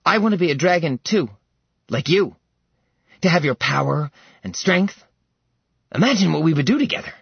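A man's voice: lively intonation, moderate pace, creaky, long pauses, breathy edge, no room sound, dull audio, exaggerated voice. A man voicing a spoiled, petulant young prince who whines and grows unhinged. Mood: obsessive. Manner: petulant, shrill whining.

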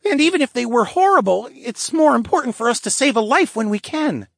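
A man voicing a young lynx-folk boy, blunt and excitable, with an emphatic boyish manner in a fantasy audiobook. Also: very high-pitched, fairly quick, creaky, no echo, clean studio sound, voice pushed up, full character voice. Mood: defensive.

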